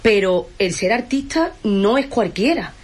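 A woman's voice: mid-range voice, lively intonation, a little slow, husky. A woman with an Andalusian accent, from Huelva, chatting passionately and emphatically as a guest on a live stream. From Huelva, Andalusia, Spain. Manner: passionate, emphatic, repeats phrases for stress. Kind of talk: casual live-stream chat.

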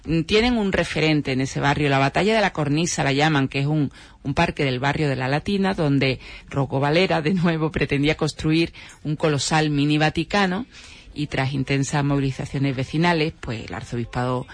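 A man with a Spain Spanish accent giving halting radio commentary, often tagging his points with short rhetorical questions. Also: a little high, dry recording. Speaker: radio show contributor. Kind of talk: semi-scripted radio commentary in conversation.

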